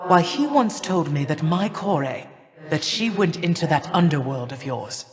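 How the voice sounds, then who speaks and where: A woman's voice: low voice, expressive, long reverb tail. A woman playing a stern, imperious elder goddess in a video game, speaking formal, archaic lines with haughty, cold disdain. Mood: bitter, brooding. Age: older. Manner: haughty, formal, archaic diction with measured scorn. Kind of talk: theatrical video game character acting.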